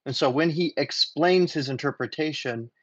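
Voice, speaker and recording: A man explaining points in a measured way during a casual panel discussion, recorded slightly lo-fi. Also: fairly high pitch, fairly bright tone, slightly rough, no room sound. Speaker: podcast panelist. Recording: slightly dull lo-fi audio, dry.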